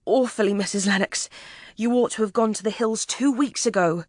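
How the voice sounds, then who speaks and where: A woman's voice: mid-range voice, normal speed, dry recording, clean studio sound, close to an everyday voice. A woman voicing a shaken young army officer in an audiobook, grave and trembling.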